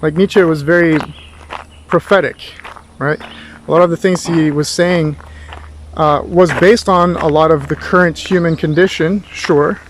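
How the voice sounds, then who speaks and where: Higher-voiced man tutoring over background noise. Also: fairly even intonation, unhurried. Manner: rambling, thoughtful, with rhetorical questions. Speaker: philosophy tutor. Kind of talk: informal spoken lecture.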